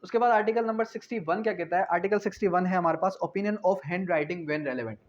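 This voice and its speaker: Higher-voiced Pakistani man giving a lecture. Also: fairly quick, a little hiss or hum. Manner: didactic, poses questions then answers them, repeats key phrases; mixes English legal terms into speech. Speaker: law lecturer. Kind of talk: explanatory lecture with everyday examples. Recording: dull, muffled lo-fi sound.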